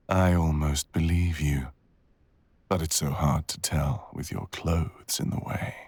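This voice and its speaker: A man playing a cruel, seductive dragon villain in intimate ASMR roleplay, with a gravelly, husky growl and a slow, teasing drawl. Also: a bass voice, steady tone, a little mellow, dry recording, full character voice. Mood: amused, seductive.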